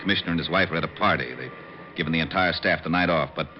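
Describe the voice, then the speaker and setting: An actor playing a gruff, long-suffering police lieutenant in an old radio crime drama. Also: male voice, low voice, racing, faint music behind, lightly acted. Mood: businesslike. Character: exasperated, harried police lieutenant. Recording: muffled, noisy old radio recording.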